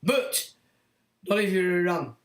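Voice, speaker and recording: Brash, boastful older male leader, threatening, as a hoarse British man sends him up, roomy echo. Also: high voice, lively intonation, slow. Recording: echoey room.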